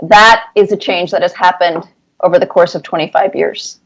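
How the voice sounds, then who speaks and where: A middle-aged woman answering interview questions conversationally, with thoughtful, deliberate phrasing. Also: on the low side, steady tone, rough recording. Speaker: interview guest, cartoonist.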